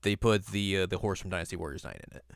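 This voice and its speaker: Man, podcast co-host, clean smooth voice, dry close sound, informal film chat, dryly joking. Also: low voice, very fast, no room sound. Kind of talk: casual film review chat.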